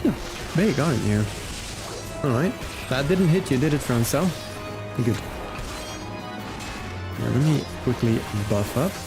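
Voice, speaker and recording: A man's voice: medium pitch, expressive, measured pace, long pauses. A man giving casual gameplay commentary and chatting to viewers as a livestreamer, with music behind him. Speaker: game livestreamer.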